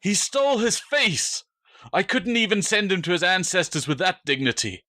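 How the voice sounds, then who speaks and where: A man acts out a hulking Roman bully in a historical audiobook. He is vengeful and forceful, pitched up and loud, spitting accusations with a sneer. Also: very animated, normal speed, full character voice. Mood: furious. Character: large, cruel, vengeful Roman soldier, hot-tempered bully.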